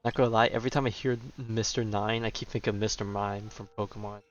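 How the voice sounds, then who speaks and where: A man's voice: on the low side, fairly even intonation, fast talker. A man chatting casually as he plays a video game. His wry, offhand commentary comes through a slightly muffled lo-fi mic, with faint music behind. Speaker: gamer, streamer.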